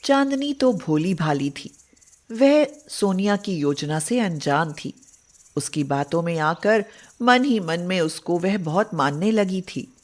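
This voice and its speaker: Slow, low-voiced woman storyteller. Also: animated. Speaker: narrator. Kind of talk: scripted storybook narration.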